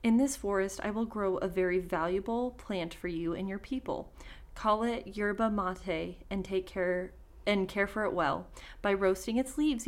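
A woman voicing a kind, solemn moon goddess from a folktale, reading in a measured, instructive way as from a storybook. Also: mid-range voice, close to an everyday voice. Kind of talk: storybook read aloud.

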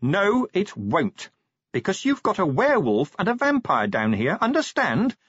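A man's voice: sits high, wildly swooping pitch, unhurried, a bit bright, husky, no room sound, dull audio, pitched above the performer's own voice, heavily acted. A man voicing a commanding female werewolf police sergeant in an audiobook, curt, bossy and exasperated. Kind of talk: audiobook character voice.

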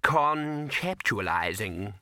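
A man's voice: lowish voice, lively intonation, takes it slowly, sharp tone, no echo, clean studio sound, heavily acted. A man voicing a battle-scarred talking tomcat, world-weary, laconic and doubtful, in a storybook reading.

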